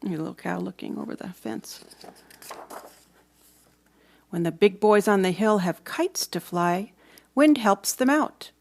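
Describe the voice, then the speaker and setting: A woman's voice: mid-range voice, animated, a little slow, gravelly, long pauses. Woman reading a children's story expressively. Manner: storytelling with dramatic emphasis and hushed moments. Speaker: storybook reader. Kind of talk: expressive children's read-aloud narration.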